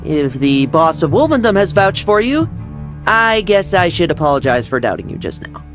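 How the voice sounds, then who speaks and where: An actor plays a blunt, confident young fighter in a game who sounds breezy and swaggering. The voice sits mid-range but swings widely in pitch. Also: sing-song, moderate pace, music in the background, natural voice. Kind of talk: video game voice acting.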